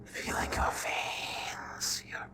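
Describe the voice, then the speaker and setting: A man playing a charming, possessive royal vampire in an intimate ASMR roleplay, with a breathy, hushed, courtly and menacing delivery. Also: extremely slow. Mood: hungry, restrained. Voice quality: breathy whispering, very low voice.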